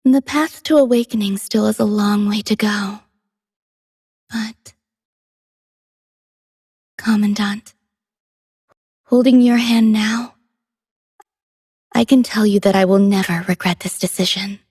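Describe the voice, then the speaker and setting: A woman playing a gentle, poetic female android companion in a game, soft-spoken and wistful with a breathy voice. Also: mid-range voice, steady tone, slow, long pauses, no echo, studio quality. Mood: tender. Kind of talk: scripted game character voice lines.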